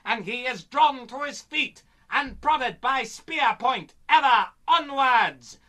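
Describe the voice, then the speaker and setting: A woman playing the dramatic, deliberate narrator of a pulp adventure radio serial. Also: sits high, animated, a bit bright, full character voice.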